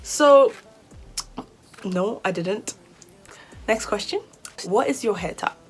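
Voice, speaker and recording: A young woman in her twenties with a British accent, chatting to camera about hair care. She talks in a lively, rambling way, now and then getting flustered. Her voice sits at an ordinary middle pitch. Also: takes it slowly, rough recording. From Nigeria. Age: 20s. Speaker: beauty vlogger. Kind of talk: casual chat to camera.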